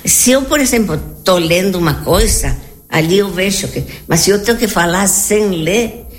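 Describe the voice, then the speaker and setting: A woman's voice: medium pitch, lively intonation, normal speed, slight background noise. An elderly native Spanish-speaking woman from Argentina with an Argentine Spanish accent, reminiscing conversationally in an interview, repeating words and checking for agreement. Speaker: interview guest.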